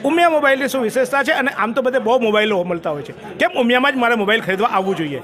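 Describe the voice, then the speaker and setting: High-pitched, fast-talking chatty reporter man. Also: animated, a crowd in the background. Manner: chatty, salesy, jokes with viewers. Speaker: local news reporter. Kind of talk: on-the-spot promotional report. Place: crowded mobile shop, indoors. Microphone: handheld reporter mic.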